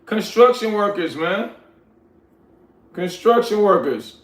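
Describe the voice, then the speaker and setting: A man's voice: high-pitched, expressive, takes it slowly, a bit bright. Laid-back slangy man in an echoey room. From Liberia. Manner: laid-back, addresses viewers as y'all, slangy. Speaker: livestream host reading chat. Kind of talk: casual livestream chat. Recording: roomy echoey sound.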